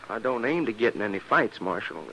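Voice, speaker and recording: An actor playing a timid, apologetic former cowboy with a hesitant, stammering, folksy manner in an old-time radio western. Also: male voice, fairly low pitch, expressive, a little fast, bright, forceful tone, a little hiss or hum, low-quality recording. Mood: meek.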